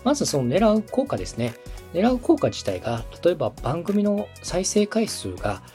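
A man's voice: high voice, wildly swooping pitch, moderate pace, dark tone, faint music behind. A man hosting and coaching, explaining things step by step in a polite, instructive way. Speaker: podcast host and coach, a radio director. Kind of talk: structured explanatory coaching on podcast production.

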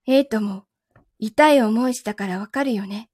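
Woman acting a high, sweetly menacing possessive lover. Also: no room sound, clean studio sound, natural voice. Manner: soft, gentle, unhurried delivery without shouting. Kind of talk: Japanese voice drama acting.

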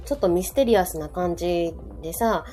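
A woman's voice: fairly low pitch, fairly quick, dark tone. A middle-aged woman with a Kansai accent, talking chattily and informally as a podcast co-host. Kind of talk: casual chat on a live podcast. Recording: background music under the talk.

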